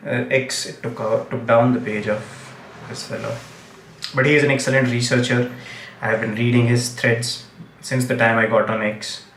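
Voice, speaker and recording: A man, a Gujarati speaker, hosting a casual commentary livestream and talking in halting, restart-filled sentences. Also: medium pitch, a bit bright, slight background noise. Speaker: livestream host. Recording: roomy, distant mic, faint ambience.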